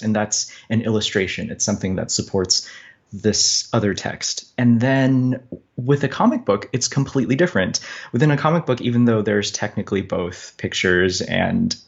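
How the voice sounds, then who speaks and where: A man with a fairly low voice speaks as an interview guest. He is chatty and articulate, thinking through his ideas in a casual, explanatory way. The recording is a little dull and lo-fi. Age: adult. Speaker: guest cartoonist. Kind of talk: casual, thoughtful interview conversation.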